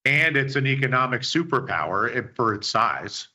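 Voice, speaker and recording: A man's voice: medium pitch, sing-song, normal speed, pushed and bright, highs cut off. A man chatting casually on a markets panel, rambling with playful restarts. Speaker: show regular.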